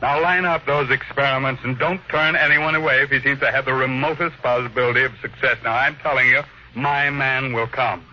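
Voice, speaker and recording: Forceful, sharp-edged ruthless tycoon. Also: male voice, mid-range voice, fairly even intonation, fairly quick. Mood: cold, determined. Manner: curt, commanding, imperious. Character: ruthless, obsessed, domineering millionaire tycoon. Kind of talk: old-time radio drama acting. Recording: dull, noisy vintage radio recording.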